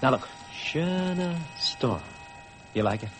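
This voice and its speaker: A pushy American press agent sells hard in a mid-pitched, very animated voice. He is wheedling and insistent, like a salesman, on a noisy vintage radio recording. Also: slow pace. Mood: eager, pitching. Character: pushy, excitable, chuckling Hollywood publicity man. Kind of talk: 1950s American radio drama acting.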